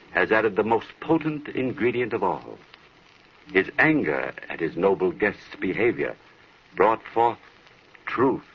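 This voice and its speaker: An actor playing a grave, ominous narrator of a gothic horror tale on old-time radio, deliberate and portentous. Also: male voice, deep voice, slightly rough, exaggerated voice. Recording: muffled, hissy old radio broadcast.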